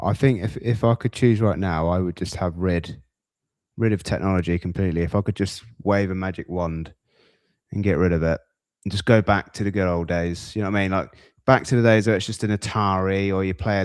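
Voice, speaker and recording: A man with a fairly low voice chats casually as a podcast host. He rambles and breaks off into asides, and the sound is dry and close. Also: no room sound. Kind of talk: casual reaction chat.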